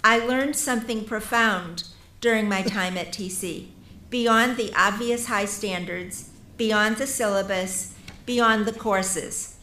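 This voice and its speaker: A woman's voice: medium pitch, slow pace, bright, forceful tone. A woman giving a formal, prepared acceptance speech as a professor at a ceremony. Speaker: professor accepting an award on another's behalf. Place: large ceremony hall. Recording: echoey hall, faint noise.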